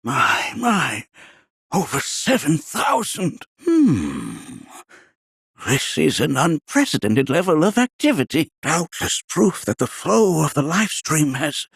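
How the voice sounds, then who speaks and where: A wise old game sage, creaky and husky, kindly, talks all formal and ponderous. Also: male voice, on the high side, wildly swooping pitch, slow pace, a bit bright, no room sound, clean studio sound, heavily acted. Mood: curious, amazed. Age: older. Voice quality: raspy, aged. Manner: ponderous, formal, archaic storyteller phrasing. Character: wise, kindly old male scholar and planetary researcher. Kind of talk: video game character voice acting.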